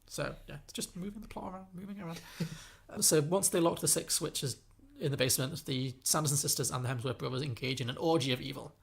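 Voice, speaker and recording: A man's voice: fairly high pitch, quick pace, creaky. A man co-hosting a podcast, chatting in a playful, rambling way and often laughing. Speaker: podcast co-host. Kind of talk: comedic casual chat.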